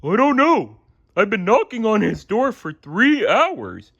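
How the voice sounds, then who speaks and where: A man's voice: high-pitched, big pitch swings, takes it slowly, gravelly, fairly bright tone, dull audio, pitched above the performer's own voice, full character voice. A man voicing a simple, good-natured male cartoon starfish in a storybook read-aloud. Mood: puzzled.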